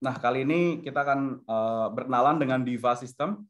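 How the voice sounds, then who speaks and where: A man's voice: mid-range voice, fairly quick. A man with an Indonesian accent giving a semi-formal corporate product presentation in an explanatory manner, mixing in English business terms. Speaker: webinar presenter for a software company. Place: online video-conference webinar.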